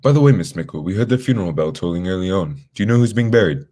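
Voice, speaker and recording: A cerebral detective played by a man in a radio mystery, husky and fairly low. He rattles off his lines quickly, with a dry, condescending, sardonic edge. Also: animated, warm tone. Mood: brisk, sardonic. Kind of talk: old-time radio drama acting.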